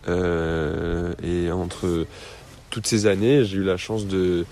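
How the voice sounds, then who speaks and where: A young man in his twenties who grew up in the United States, answering interview questions in a relaxed, rambling way with frequent hesitations. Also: deep voice, takes it slowly, a little hiss or hum. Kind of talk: casual conversation.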